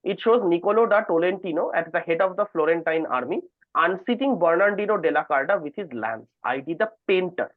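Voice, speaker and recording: Male quizmaster, fairly high voice, reading questions, thin phone-like sound, dry. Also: animated, a little fast, dry recording. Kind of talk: reading quiz questions aloud to contestants. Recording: narrow phone-like call audio.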